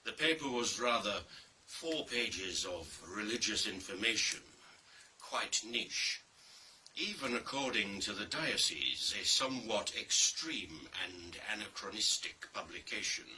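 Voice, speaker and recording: A man reads a dark story as dramatic scripted narration, theatrical and deliberate, with pauses and heavy emphasis. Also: on the low side, lively intonation. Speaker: reader of a play. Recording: distant mic with faint background noise.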